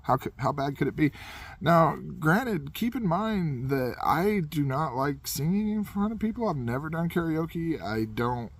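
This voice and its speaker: A man's voice: fairly high pitch, animated, a little slow, husky, no room sound. A man casually telling a comedic anecdote in a rambling, self-deprecating way, as a podcast host would.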